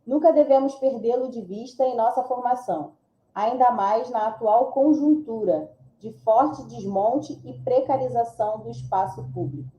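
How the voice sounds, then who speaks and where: A woman's voice: fairly high pitch, normal speed, warm tone, lo-fi sound. A Brazilian woman reading audience comments aloud, adding casual asides between them. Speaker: reader of audience chat comments. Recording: echoey, roomy sound.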